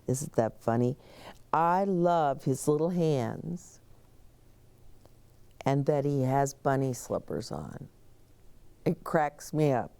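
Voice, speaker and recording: Very slow, mellow woman storyteller. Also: very deep voice. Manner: expressive, explaining and questioning as to young children. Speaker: story-time host. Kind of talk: children's read-aloud with chatty asides.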